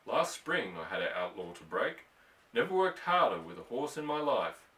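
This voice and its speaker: A man's voice: mid-range voice, sing-song, moderate pace, sharp tone. A man voicing a laconic, plainspoken bronco buster in a storybook reading. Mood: matter-of-fact yarning. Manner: folksy drawling rustic dialect. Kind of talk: storybook character voice in audiobook. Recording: roomy echo, distant from microphone.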